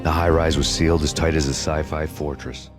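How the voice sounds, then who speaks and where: A bitter, hardboiled ex-cop narrating his revenge in noir voice-over. His voice is extremely deep and barely moves in pitch, brooding and deadpan. A loud music score runs underneath. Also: brisk. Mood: grim.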